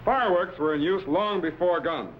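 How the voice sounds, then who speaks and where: A man's voice: high-pitched, moderate pace, natural voice. An American man playing an authoritative scientist captain in a vintage sci-fi serial, explaining things in an assured, patient mentor's manner. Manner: didactic, assured. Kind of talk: 1950s sci-fi serial acting. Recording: dull, noisy vintage broadcast soundtrack.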